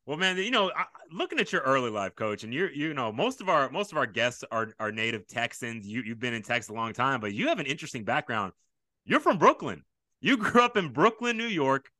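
A middle-aged man hosting a podcast, eager and animated, jumping in with quick reactions. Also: medium pitch, no echo. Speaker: podcast host. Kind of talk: casual interview. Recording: dry close sound.

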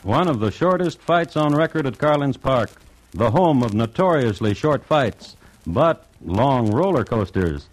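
An actor as a wry storytelling narrator in an old-time American radio drama, with an American accent. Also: male voice, mid-range voice, expressive, unhurried, background noise, natural voice. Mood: dry, wry.

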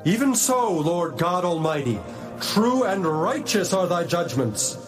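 Man as a high, fervent heavenly voice. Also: big pitch swings, measured pace, music in the background. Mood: fervent affirmation. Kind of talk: dramatized scripture audiobook acting.

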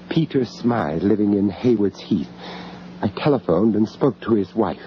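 A man acting a haunted, anxious British art dealer, narrating a radio horror tale with grave, halting dramatic delivery. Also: medium pitch, lightly acted. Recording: dull, noisy old radio recording.

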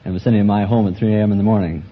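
A man in his twenties with a very low voice answers as a quiz contestant, polite and matter-of-fact. It is a dull old radio recording with faint noise. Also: fairly even intonation, rapid-fire. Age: 20s. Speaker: police officer, quiz contestant.

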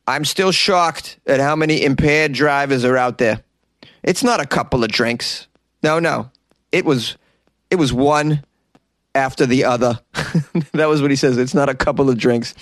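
A man's voice: fairly high pitch, dry recording, clean studio sound. A man who grew up in Boston, hosting as a comedian and joking through odd news stories with wry asides and laughs. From Boston, United States. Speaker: comedian and host.

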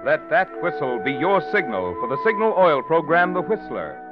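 A man's voice: a little high, sing-song, normal speed, over music. A man announcing and reading sponsor copy on a 1940s radio show. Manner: smooth salesman delivery. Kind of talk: scripted radio commercial. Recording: muffled old radio broadcast.

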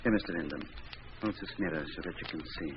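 A man playing a grave, patient surgeon in an old-time radio drama, measured and solemn as he gives instructions. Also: sits low, natural voice. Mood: measured, steadying. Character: grave, patient male surgeon, cautious and commanding. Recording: noisy, muffled old radio recording.

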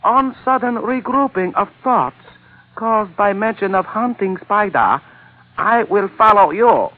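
A tinny old radio recording carries a smiling henchman, pitched high. He speaks in ornate, over-polite phrases, courteous and menacing at once, in classic 1940s radio-play style. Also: animated, a little slow. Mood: smooth, courteous menace. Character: smiling, flowery-spoken male henchman with a gun.

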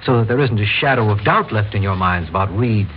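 An actor playing a principled district attorney, speaking formally and earnestly in an old-time radio drama. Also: male voice, on the low side, animated, fairly quick, close to an everyday voice. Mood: formal, solemn. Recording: dull, noisy old radio recording.

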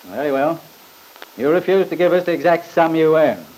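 Actor as a scornful, higher-voiced courtroom attorney. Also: male voice, animated, normal speed, darkish tone, a little hiss or hum, natural voice, rough recording. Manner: pompous, formal, sarcastic. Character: scornful, badgering male attorney, pompous and theatrical. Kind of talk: old-time radio drama acting.

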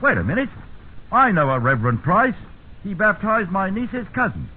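An actor playing a wry British army officer interrogating in a clipped, commanding, quizzical manner, in 1950s radio drama style. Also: male voice, high-pitched, big pitch swings, moderate pace. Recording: tinny, noisy 1950s radio recording.

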